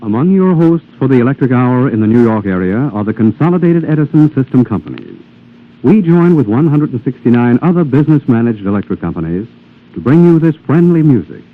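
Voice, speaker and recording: A man announcing for a sponsor on 1940s radio, reading from a script. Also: mid-range voice. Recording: tinny, narrow 1940s radio broadcast.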